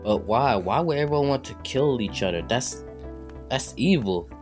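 A man's voice: mid-range voice, expressive, moderate pace, faint music behind, lightly acted. A man voicing a lost, anxious young newcomer in an amateur game roleplay, hesitant and stammering. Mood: confused.

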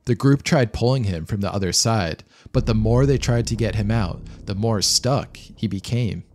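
A man reading scripted documentary narration of a true disaster story in a measured, matter-of-fact way. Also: fairly low pitch, a little mellow. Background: background music.

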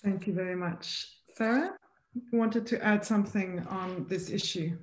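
A woman's voice: mid-range voice, normal speed. Polite woman moderator, echoey room. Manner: polite, inviting. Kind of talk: online panel moderation. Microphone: video call.

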